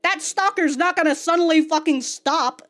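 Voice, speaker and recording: A woman's voice: very high-pitched, normal speed, fairly bright tone. A woman hosting a casual reaction video, talking in an exaggerated, rambling way with stammered repeats and frequent swearing. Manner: self-described exaggerated on-camera delivery. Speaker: YouTube reaction host.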